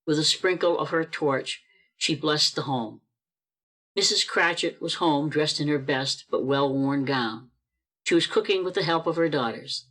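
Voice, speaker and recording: A woman narrating a radio play over a video call, telling the story in a measured, expressive way. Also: deep voice.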